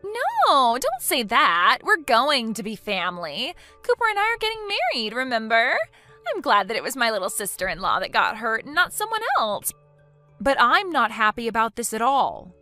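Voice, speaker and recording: A woman putting on a shrill, self-absorbed young woman whose voice leaps high and wide in indignation. The tone is bright and piercing, with faint music underneath. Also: wildly swooping pitch, moderate pace, no room sound, exaggerated voice. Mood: indignant. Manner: whiny, indignant, high-pitched outbursts.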